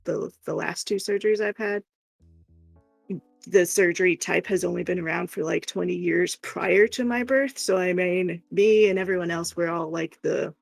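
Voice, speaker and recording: A woman's voice: on the low side, creaky, no room sound. A woman chatting casually and candidly as a livestream co-host, rambling informally.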